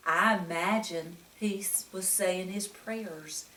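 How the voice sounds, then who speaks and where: A woman's voice: on the low side, takes it slowly, a bit bright, slight background noise. A woman reading a picture book aloud to young children, expressively, with exclamations and frequent questions to the listener. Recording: echoey room, distant microphone.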